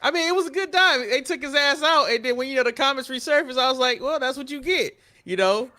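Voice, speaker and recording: A man's voice: very high voice, quick pace, sharp tone. A man hosting a wrestling podcast in casual panel chat, steering the talk with his co-hosts. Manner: reads out viewer comments, puts questions to co-hosts.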